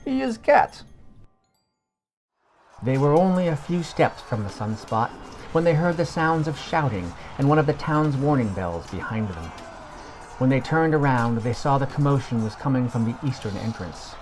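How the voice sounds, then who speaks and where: A man narrating a scripted fantasy story as a podcast storyteller, in a measured reading manner. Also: mid-range voice, lively intonation, faint music behind.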